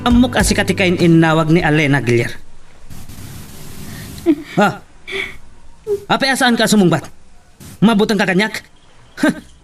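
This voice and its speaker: A man's voice: sits high, lively intonation, measured pace, long pauses, soft background music. A man playing a jealous, hot-tempered young man who throws taunting questions in an Ilocano love drama. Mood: jealous anger. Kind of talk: Ilocano radio-style love drama acting.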